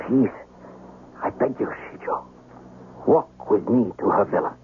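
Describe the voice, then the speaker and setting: An actor playing a lovesick, proud young samurai with earnest, formal, dramatic delivery in a 1970s radio drama. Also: male voice, on the low side, expressive, a little slow. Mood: anguished, pleading. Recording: muffled, hissy old radio broadcast.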